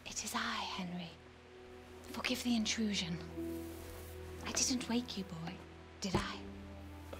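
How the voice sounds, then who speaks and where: A woman acting a gracious, kind medieval lady in a video game cutscene, gentle and genteel in manner. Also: mid-range voice, slow pace, pauses often, natural voice. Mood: soft, intimate. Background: music.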